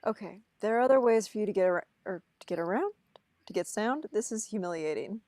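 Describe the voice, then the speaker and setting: A woman's voice: mid-range voice, expressive, fairly quick, a little mellow, dry recording, natural voice. Woman playing an exasperated young man, dry close sound. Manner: flustered, dry, protesting. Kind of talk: amateur table reading of a film script.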